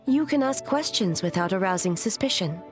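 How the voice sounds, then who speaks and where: An actress plays a dignified woman of authority in a video game. Her voice sits at a middle pitch, with formal and measured phrasing. She stays grave and composed, with music playing underneath. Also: expressive, close to an everyday voice. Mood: composed, businesslike. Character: dignified, solemn noblewoman in command, composed and grave. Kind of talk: video game character acting. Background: background music.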